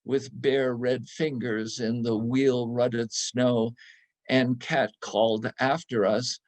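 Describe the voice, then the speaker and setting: Guy reading a nostalgic story out loud, really slow n deliberate, middling pitch. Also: flat delivery. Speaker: reader in a readers theatre.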